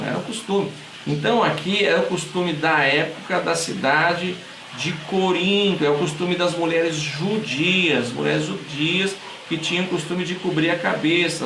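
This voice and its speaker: A man's voice: a little high, vocal fry, a bit bright. A Brazilian man preaching a conversational sermon to a live audience, repeating phrases for emphasis. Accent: Brazilian Portuguese. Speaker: pastor. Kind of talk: livestream sermon. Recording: echoey room, distant mic, faint noise.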